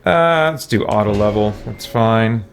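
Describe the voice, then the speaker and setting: A man's voice: lowish voice, expressive, a little slow, gravelly. A man hosting a roleplay gaming video, casually thinking aloud with theatrical exclamations. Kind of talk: casual roleplay game commentary. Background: faint game music.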